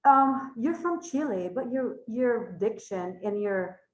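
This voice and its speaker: A woman's voice: fairly high pitch, animated, slow pace, roomy sound, rough recording. A woman with a Filipino accent, hosting a casual podcast interview and chatting through her questions. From Philippines. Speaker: podcast host.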